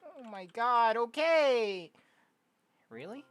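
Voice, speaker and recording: A young adult game streamer casually reading visual novel dialogue aloud, jokey and prone to loud exclamations. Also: medium pitch, takes it slowly, clear, resonant tone, no echo. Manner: animated, jokey, exclaims loudly. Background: game music.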